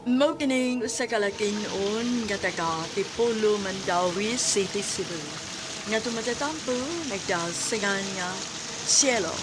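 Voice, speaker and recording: A woman's voice: mid-range voice, measured pace, very noisy. A woman with a Cebuano accent giving dramatic scripted narration as a radio drama announcer.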